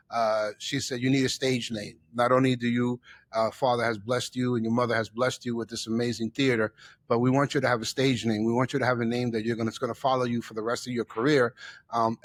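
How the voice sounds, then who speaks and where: Male, middle-aged, New York-raised guest. Emphatic storyteller who repeats for effect, mid-pitched, dry sound. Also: no room sound. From the Bronx, New York. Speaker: interview guest, chef and theater owner. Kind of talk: personal storytelling in an interview.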